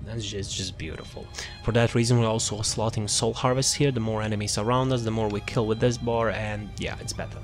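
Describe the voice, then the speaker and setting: A man's voice: on the low side, fairly even intonation, fairly quick, faint music behind. A man hosting a gaming video, talking casually through a character build in a rambling, self-interrupting way and often trailing off. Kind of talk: casual game build walkthrough commentary.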